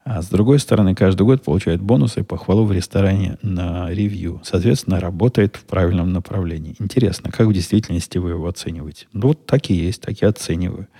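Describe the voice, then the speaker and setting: A middle-aged man hosting a solo podcast, answering listener questions in a dry, wry, unhurried way. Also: sits low, warm tone, breathy edge. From Russian-speaking country. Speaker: podcast host.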